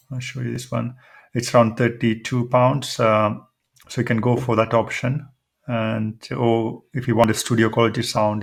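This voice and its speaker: A man presenting an informal tech tutorial, explaining things step by step in a halting, hesitant way. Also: fairly low pitch, gravelly. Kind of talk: informal screen-demo tutorial.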